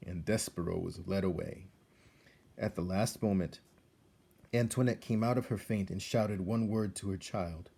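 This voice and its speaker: A man reading a children's story aloud as narrator, expressive and measured, with dramatic delivery. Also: low voice, creaky, breathy edge. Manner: measured, dramatic, addresses the listener.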